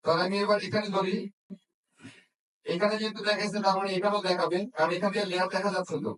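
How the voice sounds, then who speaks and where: A man's voice: high voice, fairly even intonation, quick pace, a bit bright. A man teaching a hands-on repair class, often checking understanding with questions. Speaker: phone repair instructor. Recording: echoey room, distant microphone.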